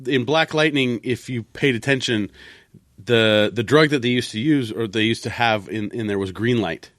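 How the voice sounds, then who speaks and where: A man's voice: medium pitch, moderate pace, a bit bright, no echo, studio quality. A man co-hosting a podcast in casual panel chat, rewording his thoughts as he rambles along. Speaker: podcast co-host.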